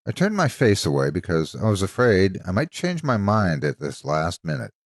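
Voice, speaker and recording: A man narrating from a script as a storyteller, with a measured, expressive and dramatic delivery. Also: sits low, no room sound, studio quality. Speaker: story narrator and channel host.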